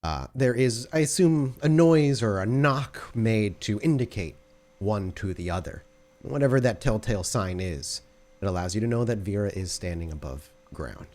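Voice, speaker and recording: Casting: man as tabletop storyteller, fairly low voice, conversational scene-setting and prompts to players. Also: lively intonation, normal speed, darkish tone. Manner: descriptive, conversational. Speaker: game master. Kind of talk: improvised tabletop game narration.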